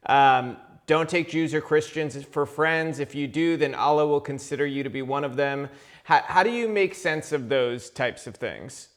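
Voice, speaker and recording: A man's voice: fairly high pitch, normal speed. Hesitant male podcast host. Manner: hesitant, filler-heavy. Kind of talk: casual interview question.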